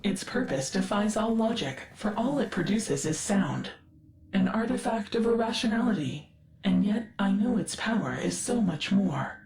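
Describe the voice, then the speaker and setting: An actress playing a curious, analytical ship AI in a video game, speaking with measured, precise, formal diction. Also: female voice, mid-range voice, lively intonation, darkish tone, slight background noise, natural voice. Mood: intrigued. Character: curious, analytical female ship AI, cultured and wistful. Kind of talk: scripted video game dialogue. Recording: echoing voice with music behind. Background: game music.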